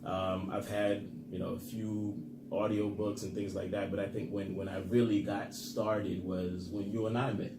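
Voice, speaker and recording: Low-voiced man in an echoey room. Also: moderate pace. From Haiti. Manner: relaxed, earnest, many fillers. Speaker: podcast co-host. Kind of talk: casual motivational chat. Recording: echoey room with background noise.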